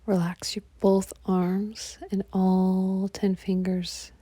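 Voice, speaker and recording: Female yoga nidra guide: soft half-whisper, mellow tone, close dry sound, calming delivery. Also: mid-range voice, slow pace, no echo. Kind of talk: guided relaxation meditation.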